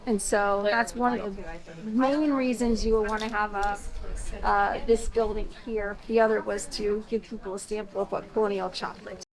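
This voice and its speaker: A woman interpreter explaining history to a tour group in an informative, slightly halting way. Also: medium pitch, fairly even intonation, low-quality recording. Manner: informative, a bit halting with fillers. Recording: busy crowd noise around.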